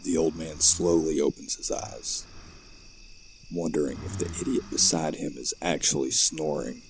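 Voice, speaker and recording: An extremely low-voiced man narrates a horror audio play in a gravelly, creaky tone. Faint noise and chirping night insects sit behind his scripted storytelling. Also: fairly even intonation, moderate pace.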